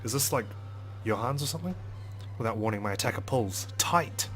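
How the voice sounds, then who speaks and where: A man's voice: medium pitch, lively intonation, brisk, fairly bright tone. A man doing a let's play, reading a visual novel aloud with theatrical expression. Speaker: let's player. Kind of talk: dramatic read-aloud of a visual novel with asides.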